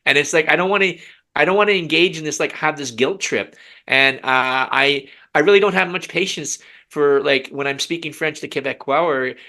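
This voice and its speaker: A man hosting a podcast discussion, talking earnestly in halting bursts with many restarts. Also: on the high side, pushed and bright. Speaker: podcast host. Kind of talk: casual discussion.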